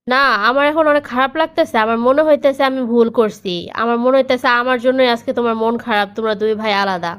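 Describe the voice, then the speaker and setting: Caring elder sister-in-law played by a woman for a cartoon, high, smooth voice, earnest, Bangladeshi Bengali accent. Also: quick pace, few pauses, natural voice. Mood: remorseful. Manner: earnest, attentive. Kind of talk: cartoon family drama.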